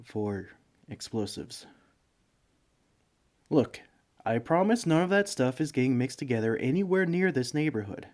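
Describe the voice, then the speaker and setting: A man's voice: mid-range voice, darkish tone. A man playing a bumbling, broke villain neighbor in a comedic audio roleplay, speaking in a hesitant, pleading, self-justifying way. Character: broke, bumbling, sheepish male supervillain neighbor begging for sugar. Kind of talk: comedic ASMR roleplay monologue.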